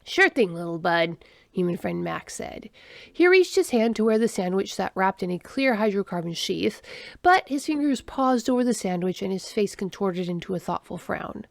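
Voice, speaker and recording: A woman reads a scripted story as its narrator. Her pitch rises and falls in a lively way and often settles into creak. The sound is dry and close, with no room around it. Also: moderate pace, no room sound.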